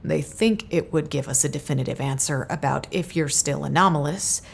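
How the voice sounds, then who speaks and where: A woman's voice: deep voice, moderate pace, creaky, breathy edge, heavily acted. A woman playing a troubled, reluctant officer giving grim news in a grave, hesitant way in an audio drama. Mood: grave, distressed.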